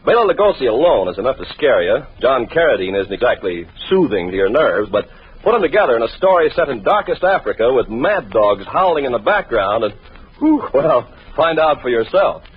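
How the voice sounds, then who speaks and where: A man's voice: mid-range voice, very animated, a little fast, slightly rough. A man announcing an old radio mystery show, with jovial, mock-nervous patter. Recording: muffled old radio recording, music under.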